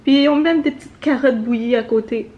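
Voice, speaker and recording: A woman's voice: fairly high pitch, fairly quick, lo-fi sound. Upbeat Québécois woman vlogging. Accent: Québécois French. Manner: chatty and upbeat, addresses viewers directly. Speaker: travel vlogger. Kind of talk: casual vlog narration.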